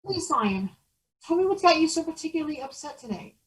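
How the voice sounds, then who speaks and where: A woman's voice: high voice, big pitch swings, brisk. A woman voicing a timid, polite boy who hesitates and stammers as he pleads. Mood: pleading.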